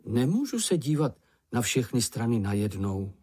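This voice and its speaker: A narrator voicing a good-natured young male troll child, curious and calm, in a matter-of-fact manner. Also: medium pitch, husky. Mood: indignant.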